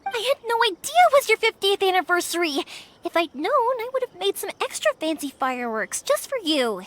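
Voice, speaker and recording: An actress playing a cheerful young fireworks maker in a game, friendly and eager. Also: female voice, very high-pitched, big pitch swings, a little fast, a bit bright, heavily acted.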